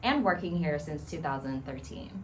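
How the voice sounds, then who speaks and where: A woman in her thirties from Thailand, answering interview questions in a measured, thoughtful way with many pauses. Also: low voice, animated, darkish tone, a little hiss or hum. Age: 30s. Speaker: guest.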